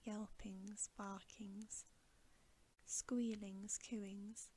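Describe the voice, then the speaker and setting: A woman softly whispering a bedtime story for ASMR, gentle, hushed and unhurried. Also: mid-range voice, little pitch movement, breathy edge, poor audio. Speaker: ASMR reader.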